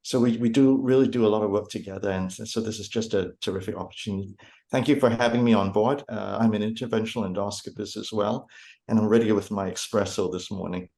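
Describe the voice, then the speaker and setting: Guest endoscopist, man, lowish voice, casual and hesitant intro. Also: fairly even intonation, fast talker, gravelly, darkish tone. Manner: hesitant, many fillers. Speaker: interventional endoscopist, guest expert.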